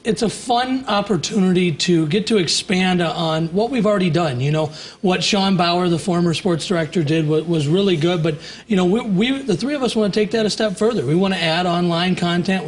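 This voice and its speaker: A man's voice: high-pitched, a little fast, few pauses. A young man from South Dakota, a sports director, chatting loosely in a live studio interview, often restarting his sentences. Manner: relaxed, rambling with restarts.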